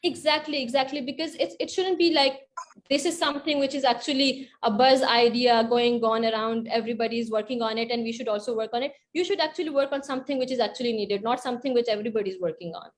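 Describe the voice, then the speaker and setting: Earnest, higher-voiced Pakistani woman on a panel. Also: fast talker. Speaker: panelist, project grantee. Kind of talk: explanatory panel talk.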